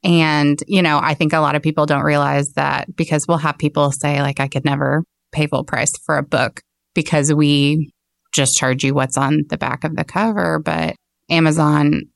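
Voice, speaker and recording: A woman's voice: low-pitched, level tone, normal speed, creaky, no echo. A woman with an American accent explaining things casually as a shop owner being interviewed. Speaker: bookstore owner, interview guest.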